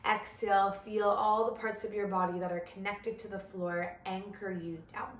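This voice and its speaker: A woman leading a guided body scan meditation as an instructor, gentle and measured, with long pauses between phrases. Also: medium pitch, steady tone, echoey room, a little hiss or hum.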